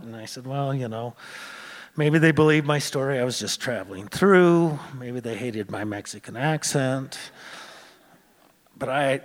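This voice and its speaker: Halting male storyteller in a reverberant hall. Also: medium pitch. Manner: halting, with many "uh" pauses. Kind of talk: live stage storytelling.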